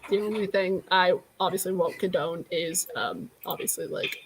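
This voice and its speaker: A woman's voice: lowish voice, normal speed, slight background noise, low-quality recording. Candid young woman hosting a chat. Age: 20s. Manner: candid, self-deprecating, joking. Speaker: channel host. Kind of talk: casual group chat.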